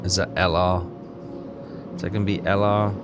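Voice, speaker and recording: A man's voice: low-pitched, steady tone, moderate pace. A man giving casual playthrough commentary, reading game text aloud and muttering hesitantly to himself as he puzzles things out. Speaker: gameplay commentator. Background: game music.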